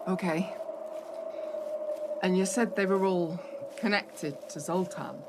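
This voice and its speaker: An actress playing a shaken, suspicious young hotel assistant manager, asking hesitant, probing questions in a radio play. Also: female voice, lowish voice, slow pace. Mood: subdued, hesitant. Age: young adult. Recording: noisy recording with background ambience.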